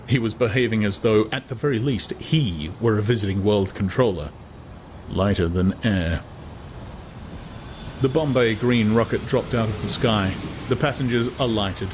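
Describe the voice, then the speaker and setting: A man narrating a literary novel as a dramatized audiobook. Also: fairly low pitch. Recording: clear background noise.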